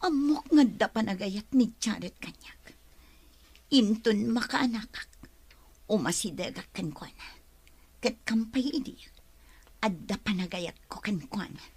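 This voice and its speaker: A woman's voice: medium pitch, expressive, unhurried, pauses often, a little mellow, breathy edge. An actress with an Ilocano Filipino accent playing a distressed, bitter young pregnant woman in an emotional radio drama. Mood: brooding. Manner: emotional, dramatic, tearful outbursts and muttered monologue.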